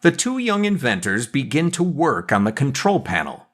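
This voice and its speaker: A man's voice: mid-range voice, very animated, moderate pace, a bit bright. A man narrating a scripted audio drama, describing the action as narrator. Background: music.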